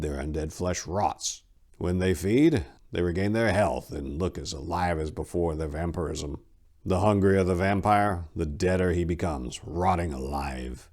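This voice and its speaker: A battle-hardened fantasy warrior monk voiced by a male narrator speaks from a low register. He is grim and terse, giving blunt, matter-of-fact answers as in a dramatic audiobook. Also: darkish tone.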